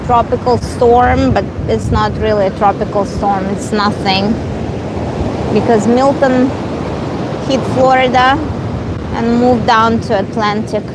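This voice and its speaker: Russian-native woman streamer on a windy beach, unhurried and chatty, heavy wind noise. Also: a little high, fairly even intonation. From Eastern Europe, Russian family. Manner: slow, rambling, reads and answers viewer comments. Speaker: live streamer. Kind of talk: casual live stream chat. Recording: loud wind and surf noise. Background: ocean waves and wind.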